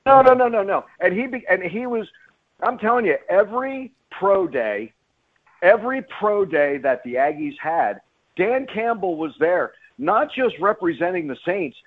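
A man's voice: high voice, very animated, unhurried, phone-quality sound, a little hiss or hum. Emphatic sports radio man, slightly thin sound. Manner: emphatic, repeats phrases for stress. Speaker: sports play-by-play announcer, interview guest. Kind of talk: animated sports radio interview talk.

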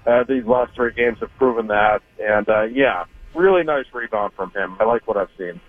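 A man talking hockey as a radio guest, chatty and relaxed, with lots of fillers. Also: fairly low pitch, normal speed, no echo. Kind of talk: casual radio interview by phone. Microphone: phone. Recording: tinny phone line.

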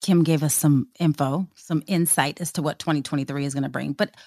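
A woman's voice: low voice, fairly even intonation, a little fast, warm tone. A woman in her late thirties co-hosting a podcast, upbeat and affirming, repeating phrases for emphasis. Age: late 30s. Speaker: podcast co-host. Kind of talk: casual chat.

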